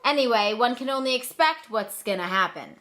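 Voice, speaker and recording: A woman's voice: fairly high pitch, animated, a little fast, a bit bright. A woman giving comic scripted narration for a puppet show in an irreverent, sardonic manner.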